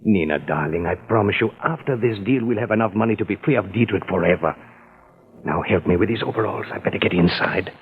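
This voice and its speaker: An actor playing a smug, self-satisfied arsonist in an old radio crime drama, speaking in a smooth, coaxing way. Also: male voice, on the low side, fast, a little hiss or hum, close to an everyday voice. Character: scheming middle-aged male arsonist, smug perfectionist. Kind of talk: 1940s radio acting. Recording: tinny old radio broadcast.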